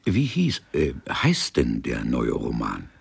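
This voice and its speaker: An actor playing a formal, dryly ironic police detective in a German radio crime comedy, speaking in a courteous, deliberate way. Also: male voice, rumbling low, wildly swooping pitch, exaggerated voice. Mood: formal, probing. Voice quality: gravelly, husky. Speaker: police investigator questioning a witness.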